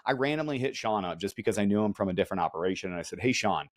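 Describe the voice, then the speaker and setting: A man's voice: on the low side, expressive, rapid-fire, no room sound, clean studio sound. An American man co-hosting a podcast, telling stories casually in a blunt, emphatic, profane way. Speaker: podcast co-host, military special operations veteran. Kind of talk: casual podcast chat, storytelling.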